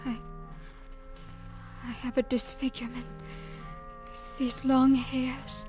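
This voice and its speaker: An actress playing a shy, gentle young village woman, soft and hesitant, in an old radio drama. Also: high voice, flat delivery, slow. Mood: shy, tender. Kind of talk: 1940s-50s radio drama acting. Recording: old radio broadcast with music underneath.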